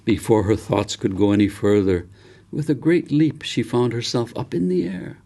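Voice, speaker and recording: A man reading his own novel aloud as an audiobook, in a measured, gentle storytelling delivery. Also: medium pitch, big pitch swings, creaky.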